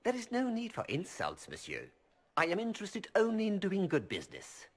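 Husky merchant, oily, formal, wildly swooping pitch, video game. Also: male voice, wildly swooping pitch, moderate pace, exaggerated voice. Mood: smug, ingratiating. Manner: smooth, ingratiating, formal. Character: scheming male merchant, oily and polite.

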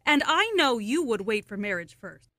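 Nagging radio-play mother played by a woman, critical and pointed, high bright voice, dry sound. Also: very animated, normal speed, no echo. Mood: fussing. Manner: pointed, scolding. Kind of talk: absurdist radio play acting.

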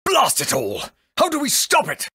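A man's voice: very high-pitched, wildly swooping pitch, moderate pace, pushed and bright, breathy edge, no echo, clean studio sound, exaggerated voice. A man playing a grave, authoritative leader of a fortress in a dramatic video game style. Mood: flustered disbelief. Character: grave, commanding fortress leader, easily flustered.

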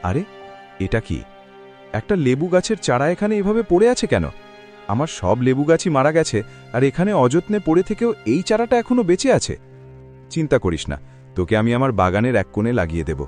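A man voicing a humble, gentle, worried village farmer in a cartoon, earnest and plaintive. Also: medium pitch, very animated, fairly quick, faint music behind, natural voice. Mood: wistful. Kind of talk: cartoon voice acting.

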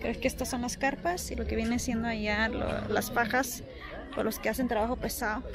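A woman narrating a casual walking vlog outdoors, pointing out items in short, unhurried phrases into a handheld camera. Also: on the high side, fairly even intonation. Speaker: vlogger. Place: outdoor swap meet, walking among stalls. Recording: loud crowd and music behind voice. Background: market crowd and music.

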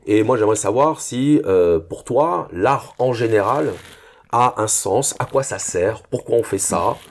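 A man hosting an interview, asking measured, explanatory questions. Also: lowish voice, expressive. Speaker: interview host.

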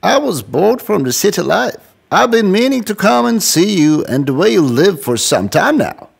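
Snobbish storybook city mouse, male actor, theatrical and lively with vocal fry, over music. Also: sits high, moderate pace, full character voice. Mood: disdainful. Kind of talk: children's fairy tale dub.

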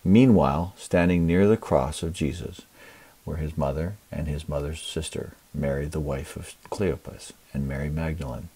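Very deep-voiced man reading scripture. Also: normal speed, warm tone, slightly rough, far from the mic. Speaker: scripture reader at a church service.

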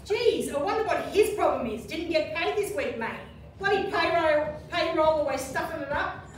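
A woman's voice: high-pitched, animated, moderate pace. A woman playing a blunt, sarcastic Dorothy in an amateur pantomime. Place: community room with seated audience, far camera. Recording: distant, echoey, noisy hall recording with crowd.

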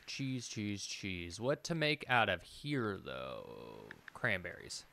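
A man's voice: fairly low pitch, very animated, takes it slowly, gravelly, bright, forceful tone. A man in his thirties doing casual gameplay commentary, hesitantly thinking aloud and repeating himself as he mutters. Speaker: game streamer. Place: indoor room, streaming at a computer.

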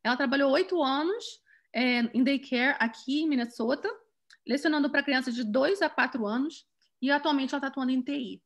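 Female host, high voice, halting delivery with restarts, laptop mic on a video call. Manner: hesitant, many restarts and fillers. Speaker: event host and moderator. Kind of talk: moderating a community video call.

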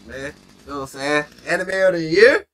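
Bright-voiced young man co-hosting a reaction. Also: a little high, lively intonation, brisk, slight background noise. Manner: laid-back slangy talk, frequent "you know what I'm saying". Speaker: reaction channel co-host. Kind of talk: casual reaction chat. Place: small indoor room, seated in gaming chair.

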